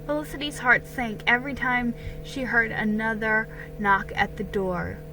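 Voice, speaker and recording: A woman's voice: fairly high pitch, fairly even intonation, measured pace, over music, poor audio. A woman reading a children's storybook aloud as a dramatic narration. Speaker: story reader.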